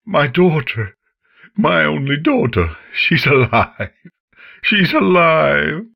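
A man voicing a deep-voiced grieving pony father. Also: big pitch swings, measured pace, no room sound, dull audio, heavily acted. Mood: overjoyed. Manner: emotional, ragged outbursts.

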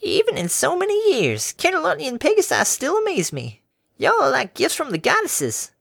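A man's voice: very high voice, wildly swooping pitch, moderate pace, creaky, a bit bright, dry recording, clean studio sound, pitched above the performer's own voice, exaggerated voice. A man voicing an elderly farm mare with a sing-songy, giggly old-lady drawl. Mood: chirpy. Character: chirpy elderly farm mare, sweet and doting.

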